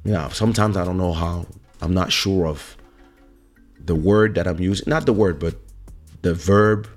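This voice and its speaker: A man from Congo with a very deep voice hosts a podcast in an easygoing monologue. He rambles and corrects himself mid-sentence, and soft music plays faintly behind him. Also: moderate pace. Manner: relaxed, rambling, self-correcting. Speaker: podcast host. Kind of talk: casual monologue.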